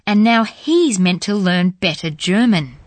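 A woman narrates a language lesson in a measured, explanatory tone. Her voice sits in a mid range and is recorded dry and close, with no room sound. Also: mid-range voice, animated, no room sound. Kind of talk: scripted narration.

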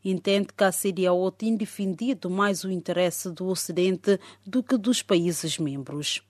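A woman's voice: lowish voice, a little slow, darkish tone, no echo. A woman narrating a scripted radio news report as a reporter.

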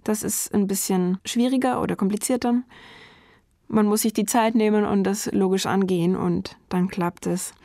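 A woman in her thirties from Germany, a German teacher answering portrait interview questions in a thoughtful, measured way, enthusiastic about teaching. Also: medium pitch, a little mellow, no echo, clean studio sound.

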